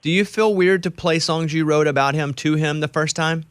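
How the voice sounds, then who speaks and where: A man's voice: fairly high pitch, steady tone, normal speed, a bit bright, smooth, dry recording. A man hosting a radio interview in a casual, easygoing chat. He talks with eager enthusiasm and keeps restarting sentences. His voice sits close and dry on the mic, with no room around it. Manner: chatty, enthusiastic, trails into restarts. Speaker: radio show host.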